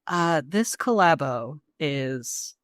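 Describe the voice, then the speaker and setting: A woman's voice: low voice, lively intonation, drawn-out words, no room sound, clean studio sound. A woman co-hosting a podcast in casual chat, playful, slangy and full of exclamations. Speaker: podcast co-host.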